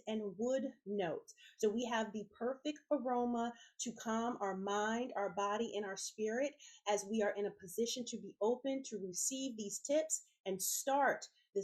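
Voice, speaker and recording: Congested raspy woman hosting a podcast. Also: on the high side. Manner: warm encouraging, speaks directly to listeners. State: congested from a head cold, raspy. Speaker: podcast host. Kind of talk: motivational self-care talk.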